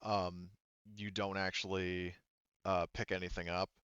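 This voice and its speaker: A man narrating a tabletop roleplaying game as game master, explaining things in a halting, wordy way. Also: deep voice, level tone, fairly bright tone, clean tone, no room sound, muffled recording.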